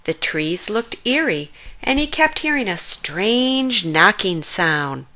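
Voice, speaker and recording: A woman narrates a children's story in a bright, expressive voice. Her pitch moves up and down a lot, and she takes her time with the lines. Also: takes it slowly, slight background noise.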